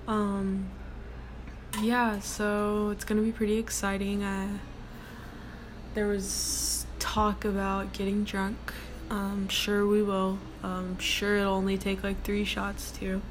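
A young woman with a deep voice hosting her own casual solo podcast from her workplace, rambling with many ums and likes in a relaxed way. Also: slow. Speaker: podcast host. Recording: noticeable background noise.

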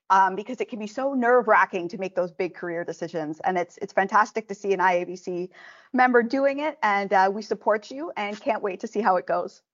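A woman's voice: mid-range voice, dull audio. Upbeat woman hosting a podcast. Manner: encouraging, upbeat, with fillers. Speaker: podcast host. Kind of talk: friendly interview podcast.

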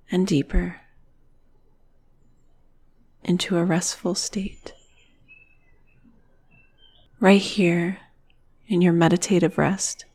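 A woman guiding a meditation in a soothing, breathy manner, with phrases often trailing off into a whisper. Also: fairly low pitch, darkish tone. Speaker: meditation guide. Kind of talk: guided meditation narration.

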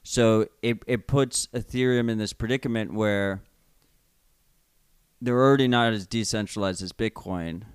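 New York male co-host, smooth resonant low voice, loose rambling delivery, dry close mic. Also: measured pace, dry recording. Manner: rambling, restarts and hedges, blunt. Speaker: podcast co-host. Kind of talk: casual chat.

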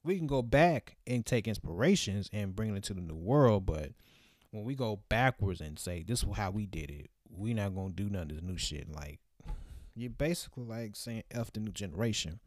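Mellow-voiced young man musing casually. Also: fairly low pitch, animated, normal speed, no echo, clean studio sound. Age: 20s. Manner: loose, reflective, lots of fillers. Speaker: vlogger. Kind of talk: casual rambling monologue.

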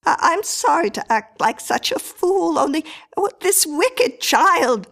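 A woman voicing a frightened, tearful older married woman in an audiobook, halting and stammering as she speaks. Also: very high-pitched, very animated, fairly bright tone, voice pushed up, heavily acted. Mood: apologetic, distressed. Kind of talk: audiobook character acting.

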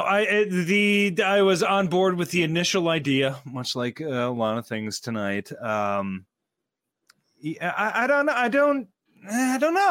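A man's voice: on the high side, fairly bright tone, dry recording, clean studio sound. A man on a roundtable podcast panel, speaking hesitantly with many fillers and trailing thoughts. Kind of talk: casual roundtable chat.

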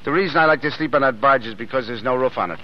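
Brusque, slangy American drifter played by an actor. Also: male voice, medium pitch, racing, lightly acted. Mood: breezy. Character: tough, footloose, wisecracking Depression drifter with hidden soft heart. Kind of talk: 1930s American radio acting. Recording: muffled, hissy old radio recording.

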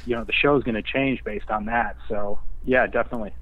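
A man speaking as a guest writer in a casual interview, halting as he thinks aloud. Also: fairly low pitch, fairly even intonation, narrow, tinny audio. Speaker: guest screenwriter.

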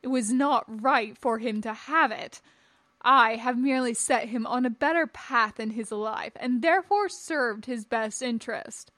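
An imperious, scornful Jedi master voiced by a woman for a storybook reading. He speaks slowly and formally, pronouncing each judgment as a stern lecture. The sound is close and dry. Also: on the high side, fairly bright tone, no echo. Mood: haughty, lecturing. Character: tall imperious old Jedi master, scornful, pompous man.

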